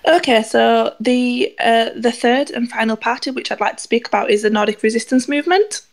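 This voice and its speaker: A woman's voice: a little high, a little fast. A woman co-hosting a podcast, laying out her points in an earnest, methodical way. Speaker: podcast co-host. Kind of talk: casual podcast discussion.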